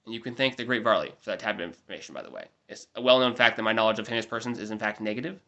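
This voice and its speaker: A man's voice: lowish voice, very fast, pushed and bright. A nasal young man giving a wry, rambling recap of a family trip in mock-formal wording. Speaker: vlogger.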